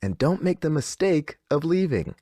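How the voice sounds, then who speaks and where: An actor playing a serene but eerily cheerful radio DJ with a deliberate, reassuring announcer delivery. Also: medium pitch, big pitch swings, mellow, no room sound, studio quality. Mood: uncanny calm. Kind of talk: scripted fictional radio station patter.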